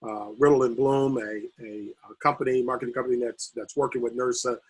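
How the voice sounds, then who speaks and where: A man speaking on a video call panel, losing his voice and talking haltingly with many fillers and restarts. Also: mid-range voice, little pitch movement, slightly rough, rough recording. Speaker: university recreation administrator.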